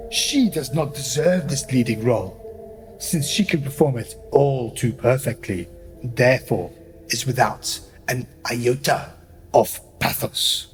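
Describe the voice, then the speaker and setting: A man playing an indignant, pompous marquis in a radio play, declaiming formally. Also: mid-range voice. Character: indignant pompous marquis making grand accusations. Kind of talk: theatrical radio play acting.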